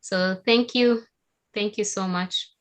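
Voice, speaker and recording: A woman from Tanzania, with Haika as her first language, giving a steady, explanatory webinar presentation over a video call. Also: mid-range voice, slow. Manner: steady, explanatory, with occasional fillers.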